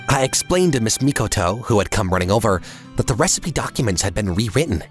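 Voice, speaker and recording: A man's voice: lowish voice, lightly acted. A man playing an earnest, polite young businessman who narrates his own story in a comic drama dub. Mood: serious. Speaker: voice actor narrating and playing the lead.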